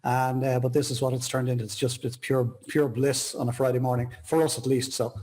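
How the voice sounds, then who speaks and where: A man's voice: mid-range voice, flat delivery, fairly quick, darkish tone. A man hosting a casual interview chat, effusive and full of compliments. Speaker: talk show host.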